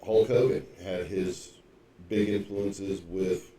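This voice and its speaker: A man's voice: low-pitched, fairly even intonation, slow, mellow. An older man co-hosting a podcast, chatting casually and reflectively in a rambling way. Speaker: podcast co-host. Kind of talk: casual chat. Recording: roomy echo from far off the mic.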